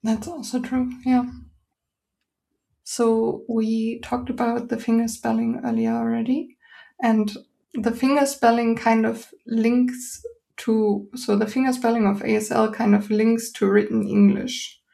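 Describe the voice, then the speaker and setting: A woman's voice: fairly high pitch, little pitch movement, unhurried, vocal fry, dark tone. A woman host whose first language is German, asking questions in English and reflecting on her own experience. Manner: reflective, sharing personal experience. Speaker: podcast host. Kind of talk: interview questions.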